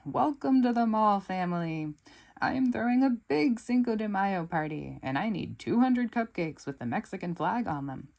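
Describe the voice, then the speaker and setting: A woman's voice: medium pitch, lively intonation, normal speed, gravelly, darkish tone, voice pushed up. A woman reading a storybook aloud, voicing a big, boastful male villain who swings between jovial and menacing.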